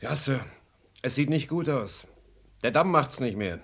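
Lively, blunt security chief. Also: male voice, mid-range voice, a little slow, husky, exaggerated voice. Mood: worried, urgent. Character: loyal, blunt male security chief, ex-military. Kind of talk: old German radio crime play.

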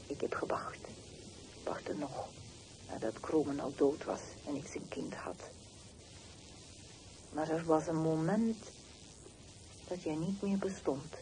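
A woman's voice: low voice, takes it slowly, pauses often, dark tone. An actress playing a bitter, grieving mother left alone, speaking in short broken phrases in a radio play. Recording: noisy old radio play recording.

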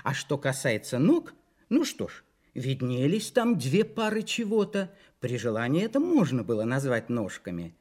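A man's voice: medium pitch, big pitch swings, moderate pace, a little mellow. A man reading a children's story expressively, in a playful, theatrical manner with conversational asides to the listeners.

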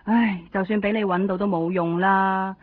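A woman's voice: medium pitch, steady tone, moderate pace, no room sound, natural voice. A woman playing an exasperated, reluctant office worker with a Hong Kong Cantonese accent in a radio drama, sighing and dismissive. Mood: reluctant, fed up. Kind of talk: naturalistic radio drama acting. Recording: thin phone-like radio drama sound.

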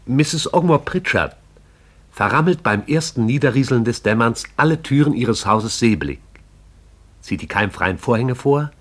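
An actor with a husky voice as the poetic, all-seeing narrator of a radio play. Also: male voice, lowish voice.

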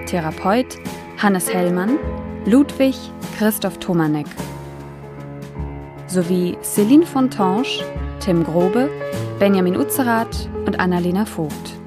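A woman announcer reading the closing credits of a radio play in a measured, formal way. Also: medium pitch, lively intonation. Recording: announcement over loud music.

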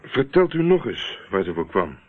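A man's voice: on the low side, very animated, moderate pace. An actor playing a shrewd, teasing investigator in a radio play, wry and controlled as he probes. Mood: probing, firm. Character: shrewd, teasing male investigator, calmly pressing. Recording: muffled, narrow-band old radio recording.

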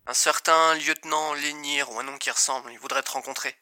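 A man's voice: a little high, fairly even intonation, fairly quick, sharp tone. A man playing an informal, businesslike control tower operator in a science fiction audio drama. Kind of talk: French audio drama acting.

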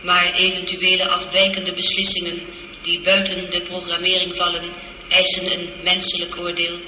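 A woman playing a polite, logical ship's computer in a science fiction radio drama, speaking in a measured, formal, precise way through a reverberant effect. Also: lowish voice, completely flat delivery. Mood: calm, matter-of-fact. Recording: echoey, dull, lightly noisy radio recording.

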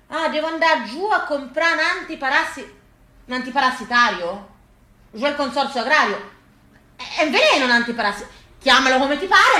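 An actress performing an earthy, stubborn yet tender Tuscan countrywoman in an expressive, colloquial stage monologue. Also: high voice. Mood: fretful. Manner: colloquial, expressive, addressing a neighbour with rhetorical questions. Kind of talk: theatrical monologue, rustic storytelling.

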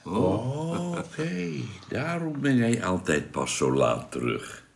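An actor playing a good-natured, gently teasing companion in a radio drama. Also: male voice, mid-range voice, sing-song, slow pace, gravelly, a little hiss or hum, full character voice.

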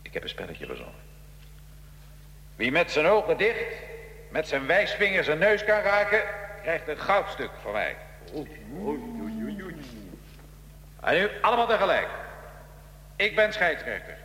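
A man acting in a radio drama as a defiant, wry nineteenth-century Italian revolutionary prisoner, telling his story gravely and with irony. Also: a little high, huge pitch swings, moderate pace, long pauses, bright, forceful tone, a little hiss or hum, heavily acted. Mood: playful, scheming.

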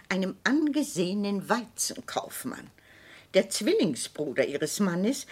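An actress playing an imperious, scheming elderly widow in a radio drama, grand and condescending with a husky voice. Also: female voice, mid-range voice, heavily acted. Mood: measured persuasion. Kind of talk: German radio drama acting.

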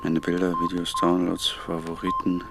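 A man's voice: deep voice, monotone, moderate pace, vocal fry. An actor playing a flustered, evasive father in a radio play, hesitant and faltering. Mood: nervous, evasive. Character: flustered, evasive father hiding his snooping.

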